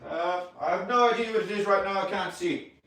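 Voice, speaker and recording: A man in an alien costume, chatting casually on a livestream and answering viewer comments in a rambling, excitable way. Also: sits high, brisk, poor audio. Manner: rambling and excitable, with many fillers and restarts; loud bursts of excitement between quieter asides.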